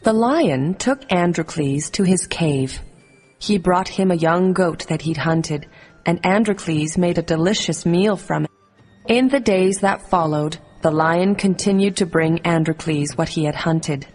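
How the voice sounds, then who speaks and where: A woman reading scripted narration for a children's animated story. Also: lowish voice, unhurried. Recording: music underneath.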